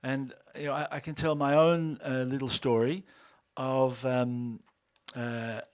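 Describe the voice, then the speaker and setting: A man in his fifties with an Australian accent, a co-founder speaking at a lunch talk, halting with many fillers and restarts. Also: mid-range voice, little pitch movement, smooth. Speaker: organization co-founder.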